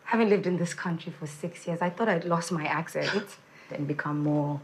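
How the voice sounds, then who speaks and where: A polite, educated young housemaid with a Ghanaian accent speaks deferentially to her employer. Her voice sits fairly low, and steady noise is clearly audible behind the film audio. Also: moderate pace.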